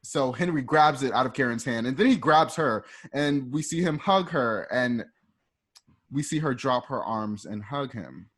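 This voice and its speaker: A man's voice: medium pitch, expressive, a little slow, fairly bright tone. A man narrating scenes of a radio drama informally, like a storyteller reading stage directions in a conversational way.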